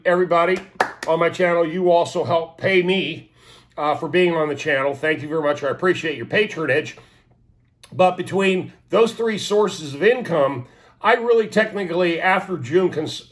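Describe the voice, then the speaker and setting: A man's voice: fairly high pitch, fairly bright tone. Rambling sniffly man in his sixties. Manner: rambling, many fillers and restarts. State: sniffly, stuffed-up sinuses. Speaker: vlogger. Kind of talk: casual talk to camera.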